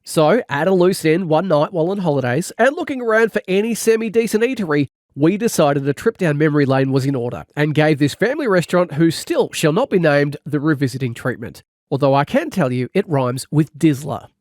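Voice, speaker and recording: Animated man narrating a comedy audiobook. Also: a little high, a little fast, no room sound, clean studio sound.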